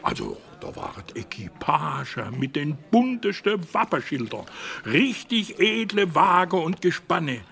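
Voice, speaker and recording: An actor playing a chubby, gossiping, middle-aged merchant in a radio drama, obsequious and boastful. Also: male voice, fairly high pitch, sing-song, unhurried, vocal fry, fairly bright tone, full character voice. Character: chubby, gossiping, fawning provincial merchant and father. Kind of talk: German radio drama acting.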